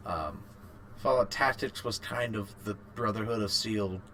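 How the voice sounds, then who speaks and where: A man's voice: on the low side, unhurried, pushed and bright, a little hiss or hum. A man co-hosting a casual podcast chat about games and films, informal and a little sweary. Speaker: podcast co-host.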